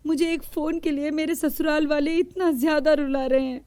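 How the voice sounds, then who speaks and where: महिला की आवाज़ में ऊँची, मुलायम आवाज़ वाली दुखी युवा बहू. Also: female voice, high-pitched, fairly even intonation, normal speed, mellow, dry recording, close to an everyday voice. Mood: tearful, hurt. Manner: plaintive, earnest. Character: aggrieved young daughter-in-law, pleading and plain-spoken. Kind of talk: Hindi moral-story cartoon acting.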